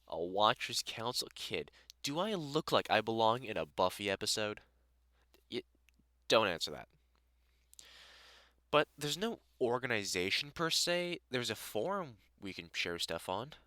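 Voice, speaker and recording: A man's voice: mid-range voice, sharp tone, no room sound. A British man playing a dry, sarcastic vampire hunter in a conversational audio roleplay, chatting informally to the listener. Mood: wry, explanatory. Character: gruff, sardonic but welcoming male vampire hunter.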